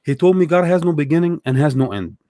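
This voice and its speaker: A man's voice: a little high, animated, quick pace, dark tone, no echo, clean studio sound. A young adult man from Egypt, a guest telling his story in casual conversation, thoughtful and hesitant with many fillers.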